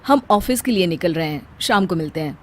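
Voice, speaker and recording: A placating grown son, voiced by a woman who drops her pitch for him. He talks calmly and matter-of-factly, as in a domestic audio storybook. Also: brisk, a little hiss or hum, lightly acted. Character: calm, placating adult son, working man.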